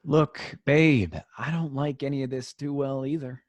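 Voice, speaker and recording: An actor playing a wary, jittery crook's accomplice with an American accent, urgent and terse, in old-time radio horror style. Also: male voice, mid-range voice, fairly even intonation, measured pace, natural voice. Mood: uneasy. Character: Pete, wary, jittery male bank-robber accomplice.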